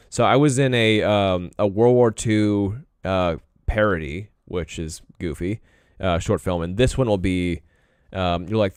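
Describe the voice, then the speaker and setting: A young man talks loosely to his stream while he works, drifting from one thought to the next and clearing his throat now and then. His voice sits low and comes through smooth and clean. The sound is dry and close, with no room around it. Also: no echo. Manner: laid-back, rambling, frequent throat clearing. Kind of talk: casual live-stream chat while drawing.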